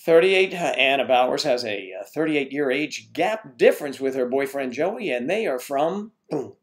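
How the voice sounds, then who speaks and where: A man chats his way through a casual livestream, greeting viewers one after another. He rambles and trips over unfamiliar names. His voice sits at a middle pitch. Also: expressive, moderate pace.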